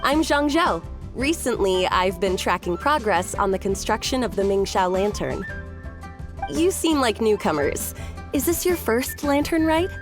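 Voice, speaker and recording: Proud festival worker, video game, chatty, explanatory, fairly high lively voice, music underneath. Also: female voice, measured pace. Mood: friendly, informative. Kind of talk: video game character acting.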